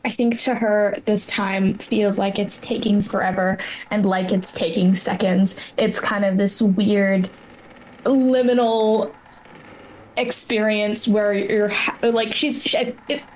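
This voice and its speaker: A woman with a mid-pitched, very even voice describes her tabletop character's feelings. She thinks aloud, searching for words and rephrasing them. There is noticeable noise in the background. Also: slow pace, poor audio. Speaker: tabletop game player. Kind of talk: improvised narration of her character's inner state.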